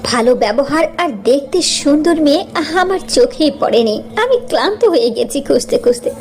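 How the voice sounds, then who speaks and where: A woman's voice: high-pitched, expressive, a little fast, darkish tone. A woman playing a gracious, effusive wealthy mother in a cartoon story. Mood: admiring. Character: gracious wealthy mother seeking brides for her sons. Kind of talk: animated story voice acting.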